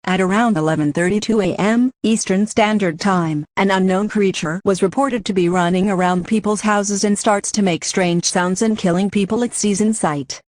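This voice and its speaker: A woman's voice: medium pitch, steady tone, moderate pace, hardly any pauses, a little mellow, no echo, lightly acted. A synthetic woman's voice as an emergency alert announcer, reading a scripted warning in a formal, impersonal manner.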